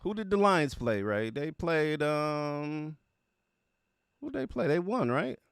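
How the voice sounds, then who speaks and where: A man's voice: on the high side, big pitch swings, slow, creaky, clean tone, no room sound, studio quality. A man hosting a livestream, chatting casually and slangily as he greets viewers by name. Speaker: livestream host of music reaction show.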